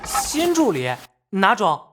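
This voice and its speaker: A woman voicing a cheeky, impatient junior police investigator in an animated crime drama, with playful, colloquial delivery. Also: mid-range voice, slow, background noise. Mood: teasing, nosy.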